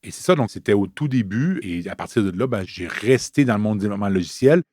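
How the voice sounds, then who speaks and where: A man's voice: on the low side, lively intonation, fast talker, darkish tone, no room sound, studio quality. A man in his fifties with a Canadian French accent, a Scrum trainer telling his story casually with fillers in an interview.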